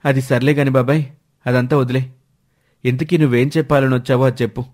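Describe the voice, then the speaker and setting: A man voicing a hesitant, protective young brother who politely objects, in an animated moral story. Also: mid-range voice, brisk, natural voice. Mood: impatient objection.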